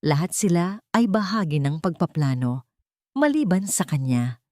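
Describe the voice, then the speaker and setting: A woman's voice: lowish voice, sing-song, moderate pace, a little mellow, no echo, clean studio sound. A woman reading a scripted, dramatic love story as its narrator.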